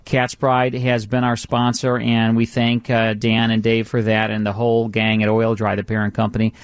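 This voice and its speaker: A man's voice: on the low side, completely flat delivery, normal speed, smooth, no echo, studio quality. A man hosting a live radio show in an upbeat, conversational, chatty way. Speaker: radio host.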